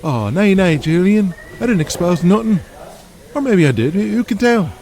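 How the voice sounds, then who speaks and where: A man's voice: high-pitched, expressive, normal speed, a little mellow, voice pushed up. A man voicing a cocky, sly wrestling villain in an audio drama, with a smug, taunting and colloquial way of talking.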